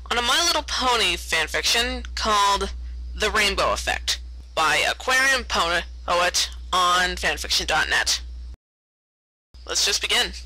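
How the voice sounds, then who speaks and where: A woman's voice: medium pitch, bright, forceful tone, low-quality recording. A woman reading fan fiction aloud as a storyteller, often stumbling over words and repeating them. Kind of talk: read-aloud story narration.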